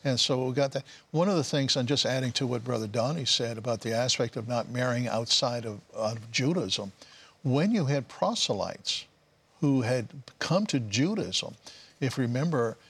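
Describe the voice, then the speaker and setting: A man's voice: mid-range voice, moderate pace, fairly bright tone, slightly rough. A man from Canada explaining scripture off the cuff as a panelist on a religious talk show. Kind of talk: unscripted Bible teaching in a panel talk.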